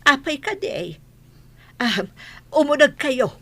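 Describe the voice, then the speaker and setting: A lively, fairly high voice of a fussy village mother , acted by a woman in a radio comedy. She is middle-aged, polite and probing. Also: takes it slowly, exaggerated voice. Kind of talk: Ilocano radio comedy drama acting.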